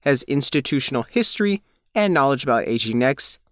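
Man reading a news feature, very animated pitch. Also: fairly quick, dry recording, studio quality. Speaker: reporter and narrator. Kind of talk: scripted news feature narration.

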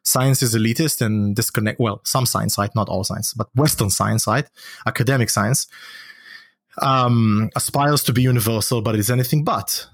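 A native Hebrew-speaking man in intellectual conversation, with a middle-pitched voice and a dry recording free of room sound. He talks discursively as a podcast guest, often tagging his points with a quick check for agreement. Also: no echo.

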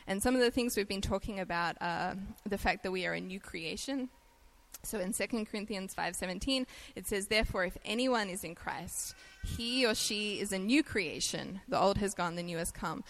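An Australian woman delivering a sermon in a relaxed, conversational way. Her voice sits at an ordinary middle pitch, and she laughs easily at herself between points. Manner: chatty and self-deprecating, laughs easily. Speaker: guest preacher.

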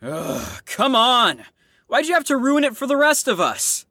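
A woman's voice: fairly high pitch, very animated, measured pace, bright, forceful tone, dry recording, studio quality, heavily acted. A woman as a brash, swooping young complainer. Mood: exasperated. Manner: whiny, exaggerated complaining. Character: brash, impatient, slangy young patient. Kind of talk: audio drama acting.

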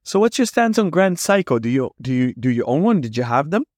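A man's voice: on the high side, big pitch swings, a little fast, darkish tone, no echo. Dutch-accented man hosting, dry sound. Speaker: podcast host. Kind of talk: casual interview chat.

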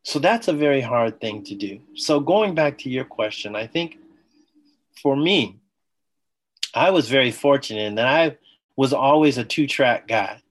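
A man, a former athlete, talking as a guest on an interview podcast, telling his story with enthusiasm in quick bursts. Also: medium pitch, animated, poor audio. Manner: enthusiastic, talks in bursts, acts out quoted dialogue.

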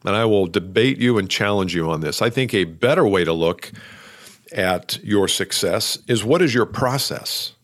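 A middle-aged man with a fairly low voice hosts his own podcast and delivers a solo talk. He speaks loudly and emphatically, pressing his points like a coach. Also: lively intonation, normal speed. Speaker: podcast host.

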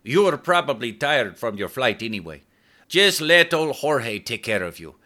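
A man voicing a chatty, excitable middle-aged cab driver with a thick Spanish accent. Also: medium pitch, normal speed, pitched above the performer's own voice. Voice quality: thick accent, eager and talkative.

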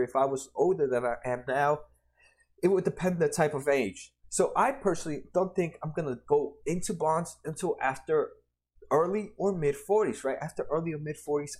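A man's voice: a little high, a little mellow, breathy edge. Casual man in his twenties explaining slides. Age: 20s. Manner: conversational, many restarts and "right?" tags. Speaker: personal finance explainer.

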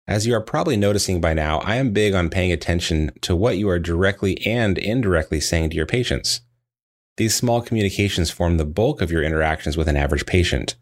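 Low-pitched man reading instructional narration. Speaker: dental practice trainer.

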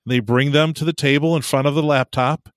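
A man's voice: fairly high pitch, steady tone, fairly quick, no echo. A man co-hosting a podcast in a casual, chatty, jovial and teasing way. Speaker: podcast host.

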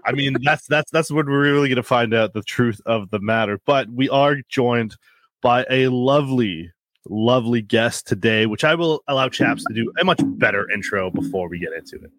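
A man co-hosting a podcast, telling stories in a teasing, animated way. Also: mid-range voice, moderate pace, dry recording. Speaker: podcast co-host. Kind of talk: casual podcast banter.

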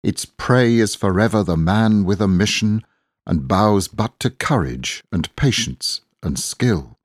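A man reading inspirational verse aloud in a deliberate, earnest, exhorting manner. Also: on the low side, lively intonation, dry recording, studio quality. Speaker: poetry reader. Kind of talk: measured inspirational poetry recitation.

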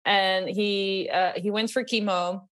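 A woman's voice: mid-range voice, steady tone, slow pace, a bit bright. A young woman from the Netherlands with a Dutch accent, a guest chatting casually on a remote call with lots of fillers and laughs. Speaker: guest, company co-founder. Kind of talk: casual interview chat.

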